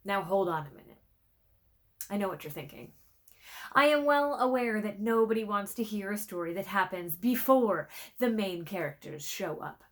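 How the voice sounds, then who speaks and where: Woman reading a storybook with dramatic hush. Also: mid-range voice. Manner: dramatic, with hushed phrase endings. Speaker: storybook reader. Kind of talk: expressive read-aloud narration.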